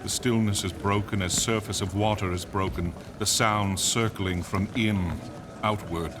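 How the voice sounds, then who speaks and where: An actor playing a tense, solemn radio announcer, giving measured, vivid live commentary on a crowded plaza in a verse drama. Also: male voice, fairly low pitch, steady tone, noisy background, natural voice. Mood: solemn, uneasy. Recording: clear outdoor crowd and street ambience behind.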